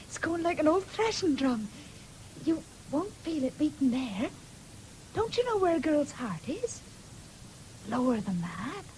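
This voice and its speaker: Very high-voiced, teasing country girl. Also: sing-song, a little slow, long pauses, darkish tone, low-quality recording. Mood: coaxing. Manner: coaxing, playful. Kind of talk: radio drama acting. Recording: faintly hissy 1970s radio recording with clicks.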